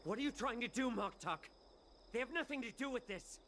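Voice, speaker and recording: A defiant, expressive game captive. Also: male voice, very high voice, a little fast, slight background noise, full character voice. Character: defiant, composed captive man, urgent and protective. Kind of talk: dramatic video game voice acting.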